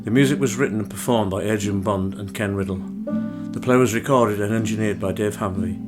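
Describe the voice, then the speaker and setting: A man's voice: lowish voice, a little fast. A man reading scripted closing credits as an announcer. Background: loud plucked guitar music.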